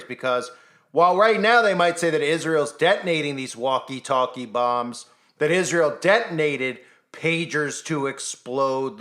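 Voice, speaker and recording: A man's voice: a little high, a bit bright. A man delivering an opinionated news commentary monologue as an independent video host, insistent and full of rhetorical questions.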